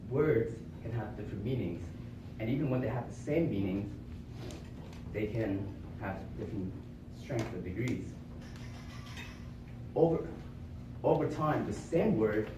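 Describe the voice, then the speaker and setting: A young man gives a prepared speech to a small audience, working through examples and rhetorical questions in an earnest, explanatory way. His voice sits on the low side. The camera is far away, so the room echo is heavy and background noise comes through clearly. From born in Vietnam, raised in Florida. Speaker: club speaker.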